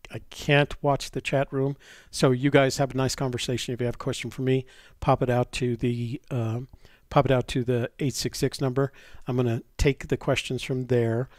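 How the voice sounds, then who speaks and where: A man's voice: mid-range voice, no room sound. Stuffy older American man, dry close sound. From New Mexico, United States. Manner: halting, often pausing mid-thought. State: stuffy, getting over a cold. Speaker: live stream host. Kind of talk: casual live chat, rambling.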